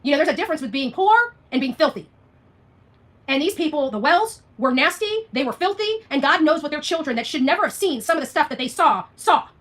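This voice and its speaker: A woman with a high, bright voice delivers an unscripted livestream rant. She talks quickly and combatively, leaning on repeated phrases and pointed rhetorical questions as she answers her viewers. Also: very animated. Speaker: livestream host responding to viewer comments.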